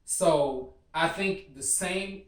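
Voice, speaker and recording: A man's voice: fairly high pitch, extremely slow. A man co-hosting a casual, sweary discussion, often cutting in and repeating himself to get a word in. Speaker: co-host of a TV series discussion show. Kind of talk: casual group discussion, profane slang. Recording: roomy echo, distant from the mic.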